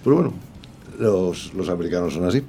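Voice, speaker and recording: A man giving casual, amused radio commentary on news stories in a halting way. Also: low-pitched, expressive, gravelly, warm tone. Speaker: radio show contributor. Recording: faint background noise.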